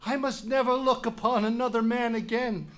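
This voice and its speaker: A man's voice: very high voice, steady tone, moderate pace, a bit bright. An actor playing a proud king crushed by grief and guilt, lamenting in anguish in a dramatic reading of a Greek tragedy. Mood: self-loathing, crushed.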